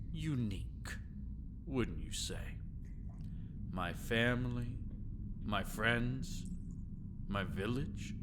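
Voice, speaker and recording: A man playing an older, vengeful, gloating one-eyed vampire hunter in a dramatic audio roleplay, slow and theatrically taunting. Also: on the low side, background noise. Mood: bitter menace.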